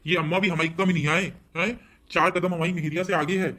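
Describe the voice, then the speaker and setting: Fast-grumbling cartoon village husband, acted by a man. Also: on the high side, steady tone, pushed and bright. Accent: Awadhi Hindi. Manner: grumbling, complaining. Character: whiny, hungry, put-upon village husband, cartoon. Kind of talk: comic cartoon dialogue.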